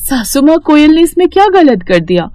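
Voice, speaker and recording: A woman's voice: sits high, big pitch swings, moderate pace, warm tone, dry recording, clean studio sound, pitched above the performer's own voice. A woman voicing a kind, principled young sister-in-law in a cartoon story, earnest and reassuring. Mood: pleading, firm. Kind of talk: animated storybook cartoon dialogue.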